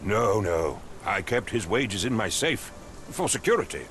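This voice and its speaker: An actor playing a pompous, impatient middle-aged captain in a video game, with an English accent and clipped, condescending speech. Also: male voice, lowish voice, big pitch swings, measured pace, a bit bright, a little hiss or hum. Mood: dismissive. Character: pompous, impatient male English captain, haughty wealthy master.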